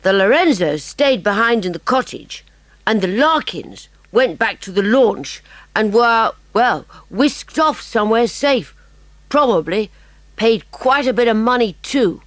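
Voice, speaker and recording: Keen smart schoolboy sleuth, polite n a bit hesitant, very animated, kids audiobook. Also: female voice, a little high, unhurried, dry recording. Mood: eager explaining. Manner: polite, explanatory, modest, slightly hesitant. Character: bright, eager, clever boy detective named Fatty. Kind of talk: dramatised children's audiobook acting.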